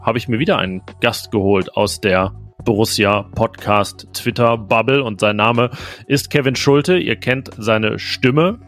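A man's voice: lowish voice, unhurried, fairly bright tone, faint music behind. A man hosting a casual football podcast, chatty with frequent fillers. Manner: conversational, filler-heavy. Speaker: podcast host, sports reporter.

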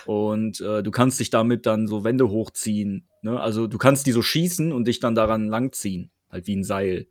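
A man's voice: lowish voice, normal speed, few pauses, no echo, clean studio sound. A man chatting casually about video games as a podcast co-host, colloquial and often seeking agreement with tag questions.

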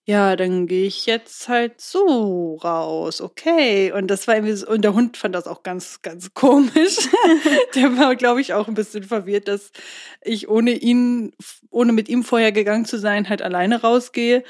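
A woman's voice: mid-range voice, a little slow, darkish tone. A young woman co-hosting a casual podcast, chatty and rambling, laughing often as she tells stories. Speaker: podcast co-host. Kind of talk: casual chat.

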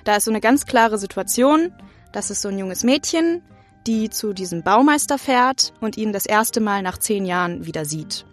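A woman's voice: medium pitch, lively intonation, normal speed, soft background music. A young adult woman giving casual, informal and anecdotal answers as an interview guest. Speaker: interview guest, acting student.

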